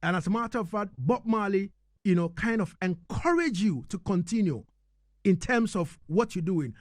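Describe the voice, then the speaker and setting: A man's voice: high voice, animated, normal speed, dry recording, clean studio sound. A man hosting a live radio interview, speaking emphatically and directly to his listeners.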